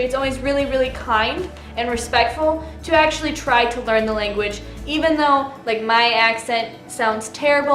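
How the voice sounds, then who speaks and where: A woman with a fairly high voice chats casually as a co-host, sprinkling her talk with ums and you-knows. Her voice echoes in a roomy space with faint music in the background. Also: a bit bright. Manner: chatty, with frequent fillers. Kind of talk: casual advice chat.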